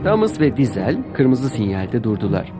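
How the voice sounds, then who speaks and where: A man narrating a scripted children's story in an expressive storytelling manner. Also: medium pitch, normal speed. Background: music.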